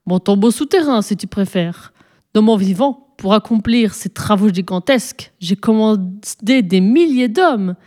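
An ancient, imperious pharaoh played by a woman reader holds forth from a storybook. The delivery is pompous and solemn, declaimed with majesty in a mellow tone. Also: medium pitch, animated, unhurried, lightly acted. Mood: proud, boastful. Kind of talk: storybook reading, character dialogue.

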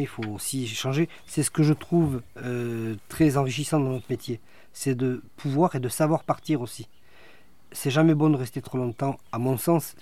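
A middle-aged man, a magistrate, answering interview questions in a measured, precise way with dry humor. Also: mid-range voice, warm tone. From France. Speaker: guest, magistrate.

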